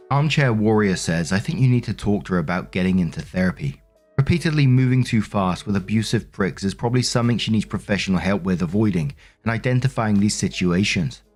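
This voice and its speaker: A man's voice: fairly low pitch, darkish tone. A man reading stories aloud as a host, in a conversational narration style with informal asides. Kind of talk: scripted read-aloud narration with casual commentary.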